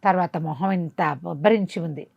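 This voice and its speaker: A woman telling a bedtime tale in an animated, conversational way, dramatically and with rhetorical questions. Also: fairly low pitch, moderate pace, vocal fry, dry recording, muffled recording. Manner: dramatic, asks rhetorical questions as she narrates. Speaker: storyteller.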